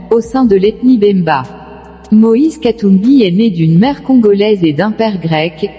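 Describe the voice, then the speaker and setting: Woman narrating a documentary, soft mellow voice, big reverb, music underneath. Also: mid-range voice. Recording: long reverb, music bed. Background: background music.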